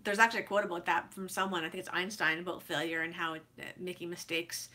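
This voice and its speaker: A woman's voice: lowish voice, little pitch movement, fast talker, pushed and bright. Congested chatty woman in her forties. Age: 40s. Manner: chatty, self-deprecating, many fillers and restarts. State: congested from a head cold. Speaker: author vlogger. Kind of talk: casual advice vlog to camera.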